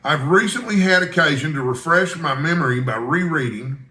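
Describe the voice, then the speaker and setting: Man reading as eager, courteous reporter, bright and roomy. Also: fairly high pitch, animated, normal speed. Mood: formal, flattering. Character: Malone, eager, polite, self-assured young male newspaper reporter. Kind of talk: audiobook character dialogue.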